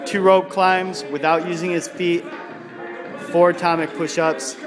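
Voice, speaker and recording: A man's voice: high-pitched, level tone, unhurried. Encouraging male trainer in an echoing gym. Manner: encouraging, counting reps aloud. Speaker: personal trainer. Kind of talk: coaching and commentary. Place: gym studio, indoors. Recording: camera audio in a large echoing gym with music.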